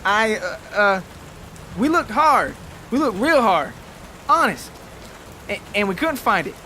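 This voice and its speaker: A man voicing a timid, earnest farm boy who speaks in a halting, pleading way. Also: sits high, very animated, sharp tone, a little hiss or hum, pitched above the performer's own voice, heavily acted. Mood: anxious pleading.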